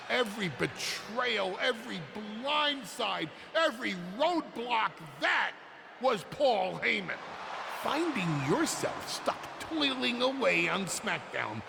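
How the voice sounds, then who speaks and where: Pompous high-pitched scheming wrestling manager. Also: male voice, vocal fry, heavily acted. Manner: grandiose, theatrical oratory with drawn-out emphasis. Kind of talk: dramatic in-ring wrestling promo. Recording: noisy arena ambience.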